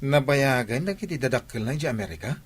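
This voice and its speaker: A man's voice: mid-range voice, lively intonation, quick pace, breathy edge. A man playing a teasing, inquisitive buddy in an Ilocano audio drama, with an Ilocano accent.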